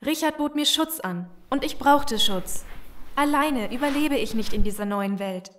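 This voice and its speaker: A desperate young woman in a zombie radio play. Her voice is high, and she speaks with earnest urgency as someone who betrayed others and now wants out. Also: animated, normal speed, fairly bright tone, a little hiss or hum. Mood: pleading, bitter. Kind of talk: German audio drama acting.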